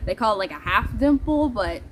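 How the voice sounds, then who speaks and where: A woman's voice: mid-range voice, big pitch swings, moderate pace, a bit bright, a little hiss or hum. A woman from the Netherlands, chatting casually and playfully to her viewers. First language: Dutch. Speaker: vlogger. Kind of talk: casual vlog chat to viewers. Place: outdoors in the heat.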